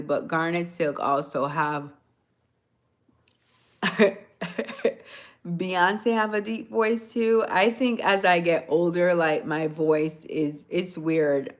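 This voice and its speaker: A woman from Jamaica chatting on a phone livestream, answering viewers' comments in a soft-spoken, sometimes hoarse voice. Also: sits low. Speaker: livestream host. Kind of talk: casual livestream chat with viewers.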